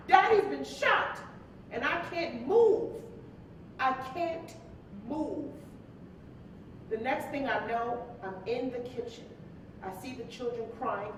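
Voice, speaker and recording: An actress playing an anguished, neglected wife in a staged play, with an emotional, insistent delivery. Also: female voice. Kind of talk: staged play reading, dramatic dialogue. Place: live staged reading in an echoey hall. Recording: very echoey live hall, distant mic, rumble.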